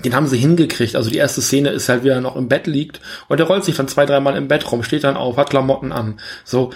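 A man's voice: medium pitch, steady tone, fast. German man chatting casually about film. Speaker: podcast co-host. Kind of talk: casual film review chat.

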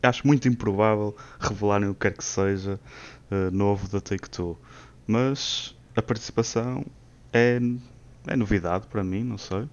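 A man's voice: lowish voice, normal speed, a little mellow. A man co-hosting a gaming podcast, speaking in a thoughtful, hesitant way with hums. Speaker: podcast co-host. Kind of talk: casual gaming podcast chat. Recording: lo-fi muffled mic.

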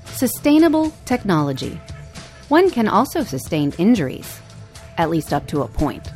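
A woman with a fairly low voice reads scripted news as a podcast host. Her delivery is measured and clear, with faint music running underneath. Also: sing-song, darkish tone.

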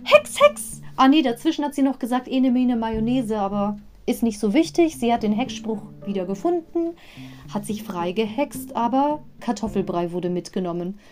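A woman hosting a podcast, retelling an audio play in an animated, chatty way with asides. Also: on the high side, moderate pace, faint music behind. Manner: animated fan commentary with asides. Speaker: podcast host.

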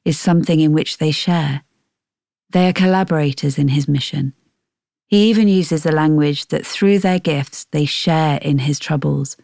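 Low, mellow woman reading a devotional. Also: creaky, no room sound, studio quality. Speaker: devotional reader. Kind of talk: scripted devotional narration. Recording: faint music behind.

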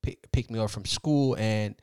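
A man's voice: fairly low pitch, darkish tone, clear, resonant tone, dry recording. Man, 30s, reflective podcast guest, resonant voice. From Liberia. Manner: reflective, unhurried storytelling. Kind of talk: casual interview.

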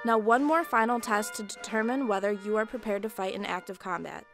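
A woman's voice: medium pitch, fairly quick, music in the background, lightly acted. A woman playing a sarcastic, bossy military training instructor in a video game, in a comic audio drama. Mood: sardonic. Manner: sardonic, bossy, condescending.

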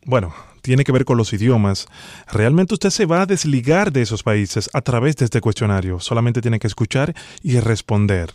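A man on a prank phone call playing a stern, bureaucratic immigration official, speaking formally and gravely. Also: medium pitch, lively intonation, moderate pace, darkish tone, dry recording, clean studio sound, lightly acted. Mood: formal, instructing. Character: stern male immigration official reading a deportation order. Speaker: prank caller.

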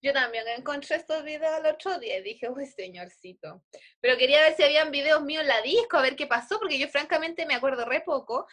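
A woman's voice: high-pitched, animated, fast talker, a bit bright. A Chilean woman co-hosting a podcast in casual chat, slangy and joking, laughing often. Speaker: podcast co-host.

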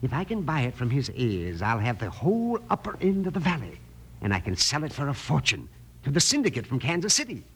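An actor playing a greedy, scheming land baron with an oily, menacing manner in an old western radio drama. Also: male voice, mid-range voice, wildly swooping pitch, fairly quick, fairly bright tone, slight background noise. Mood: gloating, scheming. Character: smug, scheming, greedy land-grabbing villain boss. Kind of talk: 1950s western radio acting.

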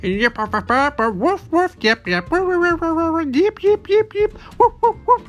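A man voicing a talkative, excitable female pet dog who rambles on and repeats herself. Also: very high voice, unhurried, faint music behind, pitched above the performer's own voice, exaggerated voice. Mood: frantic yapping. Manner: rambling nonstop, repetitive.

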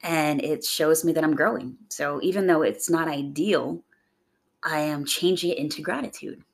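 A young adult woman hosting a podcast, raspy from a chest cold, talking in a chatty, upbeat, rambling way. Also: moderate pace. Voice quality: gravelly, low voice. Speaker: podcast host. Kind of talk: casual motivational monologue.

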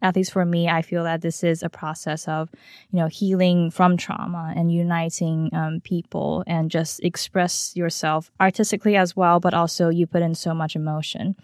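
Low, even-toned woman podcast host. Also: normal speed, no room sound, studio quality. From Taiwan. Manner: affirming, long wordy questions. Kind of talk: conversational interviewing.

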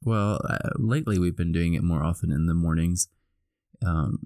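A man co-hosting a podcast in casual chat, hoarse and groggy from a cold, laughing easily. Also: low-pitched, moderate pace, darkish tone, dry recording, studio quality. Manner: laughs often, easygoing. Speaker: podcast co-host.

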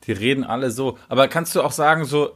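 A middle-aged podcast co-host in casual chat, amused and quick to laugh. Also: medium pitch.

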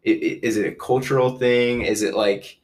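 A man's voice: medium pitch, fairly even intonation, moderate pace, darkish tone. A man hosting a casual interview, earnest and repeating his points with frequent ums. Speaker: podcast host.

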